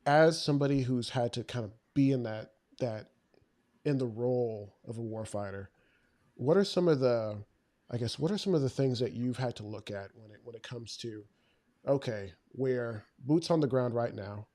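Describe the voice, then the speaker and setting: A man hosting a casual podcast interview, a bit stuffy from a flu, halting and repeating words as he asks questions. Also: mid-range voice, warm tone, no echo. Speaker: podcast host.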